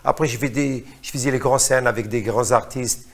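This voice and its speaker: A middle-aged man from Morocco speaks in a mid-pitched, gravelly voice. He is a singer recounting his career in a relaxed interview, halting and repeating words while he hunts for the right phrase. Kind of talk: casual interview.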